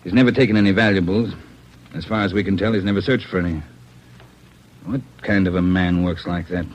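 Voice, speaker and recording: An actor playing a grim, methodical police investigator, serious and measured, in a 1940s radio drama. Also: male voice, low-pitched, fairly even intonation, lightly acted. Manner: measured, serious, matter-of-fact. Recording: muffled old radio recording, faint noise.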